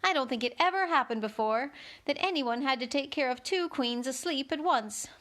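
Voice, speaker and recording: A woman's voice: high-pitched, expressive, moderate pace, a bit bright. A woman voicing a puzzled, impatient young girl who exclaims indignantly in a storybook reading.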